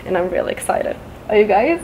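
A woman's voice: medium pitch, very animated, fairly quick. A young woman from Sweden with a Swedish accent, chatting casually and giggly to a handheld camera outdoors. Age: young adult. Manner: giggly, upbeat. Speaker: vlogger. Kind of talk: casual vlog chat. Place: outdoors, garden and wooded riverside path. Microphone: handheld camera at arm's length. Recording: noisy outdoor camera audio with ambience.

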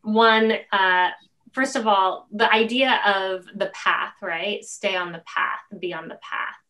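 A middle-aged woman hosts a conversational interview over a video call. She talks slowly in a mid-range voice, expressive and stop-start as she shapes each question. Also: mid-range voice, poor audio. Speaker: interview host. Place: room at home on a video call.

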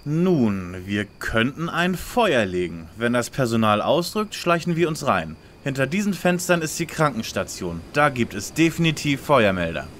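A man playing a self-assured, scheming teenage detective leader in an audio drama parody, speaking deliberately. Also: medium pitch, big pitch swings, a bit bright, a little hiss or hum.

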